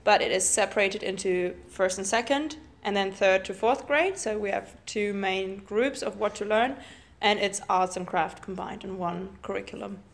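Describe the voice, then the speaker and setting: A woman's voice: medium pitch, moderate pace. A young woman, a primary school teacher, hosting a casual podcast and asking her co-hosts questions. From Germany. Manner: friendly moderator asking questions. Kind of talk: casual discussion.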